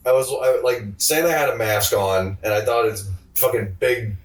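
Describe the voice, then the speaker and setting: A man's voice: medium pitch, normal speed. A man co-hosting a casual comedy podcast, swearing freely and joking with sarcasm in a slangy way. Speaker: podcast co-host. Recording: roomy echo, distant from mic.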